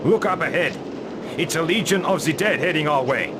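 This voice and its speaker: A man's voice: a little high, expressive, normal speed, very noisy, lightly acted. An actor playing a hearty, forthright fighting man in a fantasy video game. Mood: urgent. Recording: 1990s game audio with background music.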